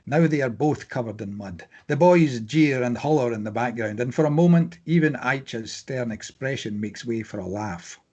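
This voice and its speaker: A man reading screenplay stage directions aloud as scripted narration, with a slightly husky voice. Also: mid-range voice, expressive, normal speed, hardly any pauses, darkish tone, lo-fi sound, slight background noise.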